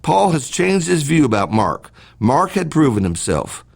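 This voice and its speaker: Gravelly man reading a devotional. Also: on the high side, sing-song, unhurried. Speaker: preacher reading a devotional podcast.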